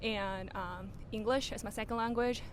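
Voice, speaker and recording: A young woman from China whose first language is Mandarin speaks English in a fairly high voice, pausing on "um" and "uh". A faint rumble sits in the background. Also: steady tone. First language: Mandarin Chinese. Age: young adult. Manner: hesitant, many fillers. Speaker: student panelist.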